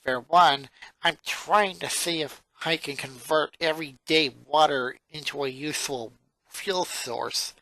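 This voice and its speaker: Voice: mid-range voice, measured pace, no room sound, low-quality recording. Someone voicing a dry, pedantic little girl genius. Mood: detached. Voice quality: monotone. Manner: pedantic, wordy, dryly sarcastic.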